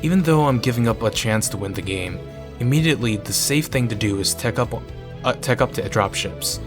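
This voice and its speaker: A man's voice: medium pitch, expressive, fairly quick, over music. A man reading a visual novel aloud in a narrating style, with casual asides.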